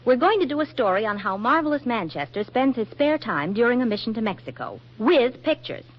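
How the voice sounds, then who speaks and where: A scheming young American photographer in a 1940s radio comedy. Her voice is high and swoops with coy, wisecracking confidence. The dull vintage recording has faint music behind it. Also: sing-song, brisk. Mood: smug, scheming.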